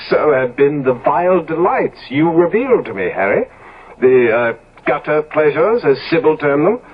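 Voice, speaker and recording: Radio drama villain: eternally young, corrupt gentleman. Fairly high voice, unhurried, sardonic, highly animated intonation. Muffled old broadcast sound. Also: husky. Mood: bitter accusing. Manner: theatrical, deliberate, sardonic. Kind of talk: 1970s radio drama acting. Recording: dull vintage radio recording.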